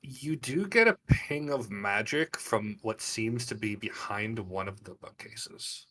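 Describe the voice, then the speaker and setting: A man's voice: fairly low pitch, slow pace, bright, forceful tone. Game master, male, slightly distant mic. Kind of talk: narrating an online tabletop fantasy game.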